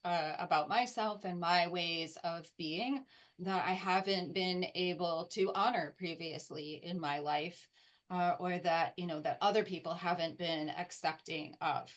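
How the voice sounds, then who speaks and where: A woman with a fairly low voice speaks reflectively as a panelist. She talks in a measured, thoughtful way about her research. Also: muffled recording. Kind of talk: live panel discussion.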